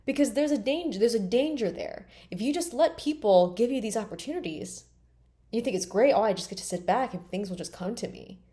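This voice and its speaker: A woman's voice: a little high, expressive, a little fast, mellow. A woman in her late twenties talking bluntly and emphatically in a candid personal vlog, swearing freely. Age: 20s. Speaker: vlogger.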